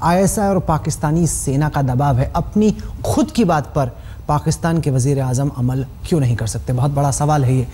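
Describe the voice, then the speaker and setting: A woman presents a news interview in a dark, mellow voice. She frames issues like a broadcast anchor and puts probing questions to her guest. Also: sits low, moderate pace, slight background noise.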